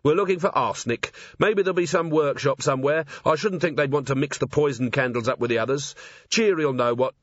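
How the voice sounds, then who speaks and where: A man's voice: a little high, fairly quick, dry recording, muffled recording, voice pushed up, close to an everyday voice. Man acting a commanding, exasperated police commander. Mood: commanding, urgent. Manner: commanding, exasperated, dryly patient. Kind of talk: audiobook character voice.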